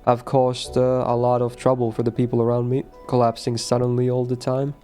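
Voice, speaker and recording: A man reading the lines of a quiet, withdrawn teenage schoolboy from a visual novel in a calm, matter-of-fact way. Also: medium pitch, brisk, soft background music, natural voice. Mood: subdued.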